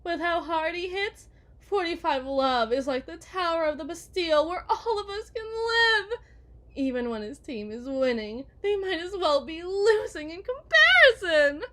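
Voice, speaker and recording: A woman's voice: extremely high pitch, big pitch swings, unhurried, pushed and bright, a little hiss or hum, full character voice. A woman playing a genteel, possessive kidnapper wife in a theatrical ASMR roleplay, condescending and syrupy sweet with veiled menace. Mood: gushing, boastful. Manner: mannered, condescending, syrupy endearments, veiled threats.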